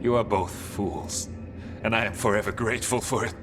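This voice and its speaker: A solemn dwarven man from a fantasy video game voiced by a male actor. His voice sits fairly low, with formal, measured phrasing. Music plays clearly behind him. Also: lightly acted. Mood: grave, grateful. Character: grave, formal dwarven man rescued from underground. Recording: music under the voice.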